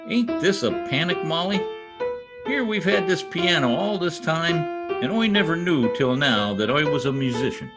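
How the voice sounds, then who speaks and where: An actor playing a cocky, bumbling husband with folksy slang, in old-time radio comedy style. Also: male voice, fairly high pitch, animated, moderate pace, lightly acted. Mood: self-satisfied, fumbling. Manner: folksy slangy speech, blustering. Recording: husky-sounding video call recording with music.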